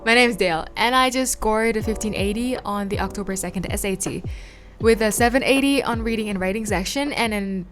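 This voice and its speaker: A woman's voice: medium pitch, fairly quick, a bit bright. A young woman from Thailand, a native Thai speaker, giving casual study tips in a chatty, informal way with frequent "like" and "um". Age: young adult. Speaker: vlogger.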